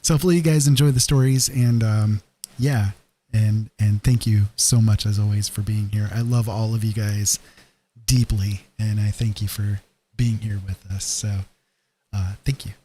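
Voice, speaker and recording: Guy hosting a late night stream, lowish mellow voice, lots of ums and uhs. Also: steady tone, normal speed, a little hiss or hum. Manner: hesitant, full of fillers. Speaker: livestream host. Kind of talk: casual chat.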